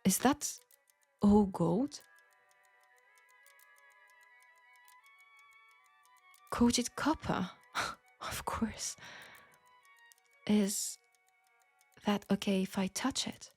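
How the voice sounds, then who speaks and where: Woman acting a slow, breathy French seamstress. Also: medium pitch, faint music behind, heavily acted. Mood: curious, awed. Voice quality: soft, breathy. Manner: hushed, deliberate, with pauses and breathy sighs. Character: frank, perceptive young Parisian seamstress, gently reproachful. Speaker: audio roleplay performer. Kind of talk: intimate steampunk audio roleplay acting.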